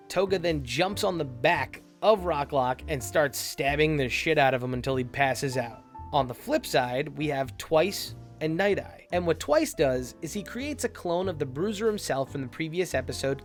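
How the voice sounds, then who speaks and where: A man giving a casual, chatty episode recap in an informal, lightly sweary way, as an online anime reviewer. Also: a little high.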